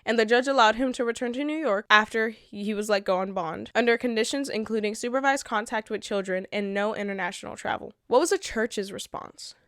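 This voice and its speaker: A woman's voice: on the high side, brisk, few pauses, a bit bright, no echo, clean studio sound. A woman, congested from a recent illness, hosting her own commentary video and reading from notes in a blunt, earnest way. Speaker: channel host.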